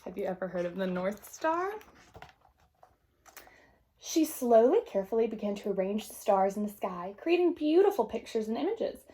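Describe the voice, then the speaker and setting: A woman reading a children's picture book aloud in an expressive, gentle, unhurried way, with casual asides to young listeners. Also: mid-range voice. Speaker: storybook reader.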